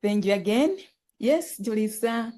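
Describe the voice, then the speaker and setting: A woman from Uganda, telling her life story as a podcast guest, earnest and halting, often repeating words. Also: mid-range voice, animated, mellow. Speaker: guest, author and nonprofit founder.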